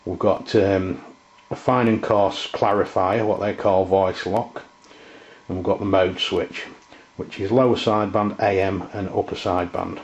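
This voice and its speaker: A middle-aged man giving an informal, halting, unscripted product review in a room at home. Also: low voice, steady tone. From England. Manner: hesitant, halting, unscripted delivery. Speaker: presenter, radio hobbyist. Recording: muffled, roomy camera sound.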